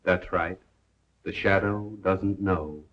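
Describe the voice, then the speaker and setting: An actor playing a suave amateur detective and broadcaster in a 1930s film, urbane, unruffled and dryly ironic. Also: male voice, deep voice, fairly even intonation, slow pace. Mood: wry, unruffled. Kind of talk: 1930s Hollywood film acting. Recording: dull 1930s film soundtrack.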